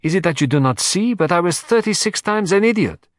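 A man voicing an excitable, vain elderly detective who talks in fussy, theatrical exclamations. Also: medium pitch, normal speed, dry recording. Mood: agitated.

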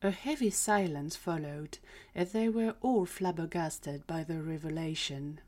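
A woman's voice: sits low, a little slow, a little mellow. A woman reading scripted third-person narration for an audio drama.

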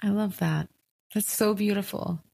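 A woman's voice: medium pitch, measured pace, gravelly, darkish tone, slightly rough. A woman hosting a podcast in a conversational interview style. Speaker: podcast host.

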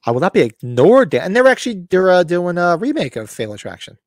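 Brief: male podcast co-host, gravelly and scratchy-throated, lively pitch, rambling and upbeat. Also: fast talker, no room sound, studio quality. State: scratchy, losing his voice. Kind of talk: casual chat about horror collectibles.

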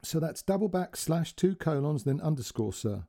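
A man voicing a calm, patient young female call centre worker who keeps politely saying "sir" in a put-on accent. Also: a little high, moderate pace, voice pushed up.

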